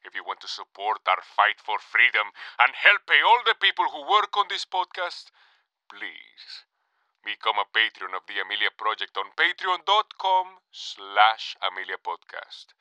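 A man's voice: fairly low pitch, very animated, a little slow, pushed and bright, clean tone, no room sound, heavily acted, low-quality recording. An actor playing a grandiose male guerrilla leader, making a theatrical appeal in an audio drama. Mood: rousing. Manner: grandiose, declamatory. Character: fiery male revolutionary guerrilla leader rallying supporters.

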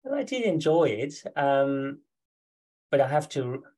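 A man's voice: fairly high pitch, sing-song, unhurried, mellow. A man from Germany with a German accent, a guest on a podcast, talking in a friendly, hesitant way. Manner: hesitant, many fillers and restarts, friendly. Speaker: guest, ultra runner. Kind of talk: podcast interview.

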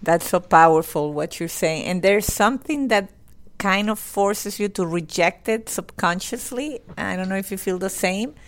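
A woman's voice: mid-range voice, moderate pace. A woman hosting a podcast, asking questions and sharing her own thoughts in a casual, thoughtful way. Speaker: podcast host. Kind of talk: casual conversational interview.

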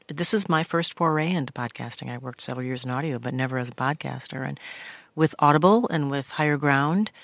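A woman journalist with a low voice, reminiscing in a radio studio interview. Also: a bit bright, no echo. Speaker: journalist and podcast host, as guest. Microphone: studio microphone.

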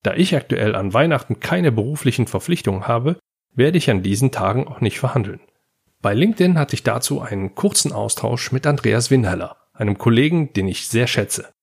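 A man's voice: medium pitch, lively intonation, brisk, creaky, breathy edge. A man from Germany hosting a podcast, delivering a scripted advice monologue that speaks directly to the listener. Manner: direct address to the listener, anecdotal. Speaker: podcast host.

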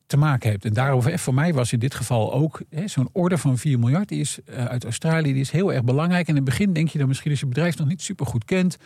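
A man's voice: mid-range voice, fast talker, hardly any pauses, darkish tone, slightly rough, no room sound, clean studio sound. A man explaining conversationally as an expert guest, acting out bits of dialogue as he goes. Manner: acts out imagined dialogue while explaining. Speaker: guest analyst explaining earnings calls.

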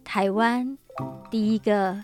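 A woman's voice: medium pitch, steady tone, slow, a little mellow, soft background music. A woman with a Taiwanese accent hosting a casual interview, chiming in with short affirmations. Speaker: podcast host interviewing a guest.

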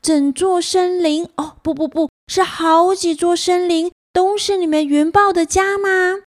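Woman acting out a timid, high-voiced little lost girl. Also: slow pace, no echo, studio quality. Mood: amazed. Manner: exclaiming, earnest, wondering.

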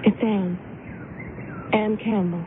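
A woman's voice: medium pitch, steady tone, slow, lightly acted. An actress in a 1970s radio drama playing a sweet, innocent, wistful young woman with a polite, timid, earnest manner. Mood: shy, polite. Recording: noisy phone-like old radio broadcast.

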